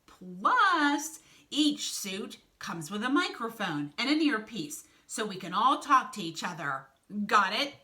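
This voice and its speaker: A woman's voice: mid-range voice, sing-song, slow pace, pushed and bright, exaggerated voice. A woman voicing a fussy, know-it-all tarantula who explains gadgets emphatically in a children's storybook. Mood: insistent.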